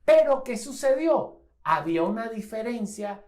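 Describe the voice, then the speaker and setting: A woman's voice: a little high, very animated, measured pace, darkish tone. A woman from Venezuela preaching a sermon, reading scripture aloud and repeating phrases for emphasis.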